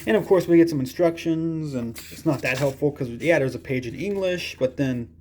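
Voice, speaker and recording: A man's voice: fairly high pitch, moderate pace, darkish tone, slight background noise. A man giving a casual, hands-on product review in a rambling, hesitant way with folksy phrasing.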